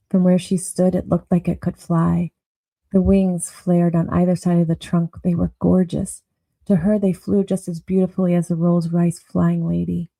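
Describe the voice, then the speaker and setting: A woman narrating a noir audio drama with brooding, deliberate storytelling. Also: lowish voice, gravelly, warm tone, no room sound, rough recording.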